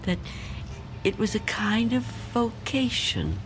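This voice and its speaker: Radio play role: fragile, reclusive old woman, fearful and pleading, high voice with wide swings. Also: huge pitch swings, slight background noise, heavily acted. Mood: wounded, subdued. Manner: tremulous, pleading, halting. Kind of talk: dramatic radio play acting.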